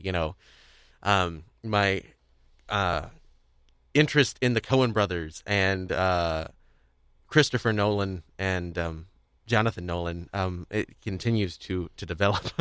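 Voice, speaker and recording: A man hosting a conversational podcast interview, speaking in a halting, rambling way as he searches for words. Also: lowish voice, a bit bright, clear, resonant tone, no echo, studio quality. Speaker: podcast host.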